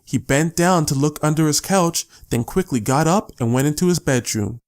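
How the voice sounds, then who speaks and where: A man's voice: on the high side, moderate pace. A man reading scripted story narration as the narrator of an audiobook.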